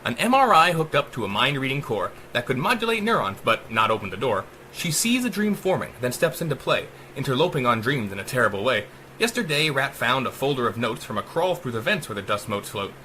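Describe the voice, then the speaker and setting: A man narrating a dark rhyming story as a dramatic audiobook, with theatrical, emphatic rhythm. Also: mid-range voice, sing-song, fairly quick, a little hiss or hum, rough recording.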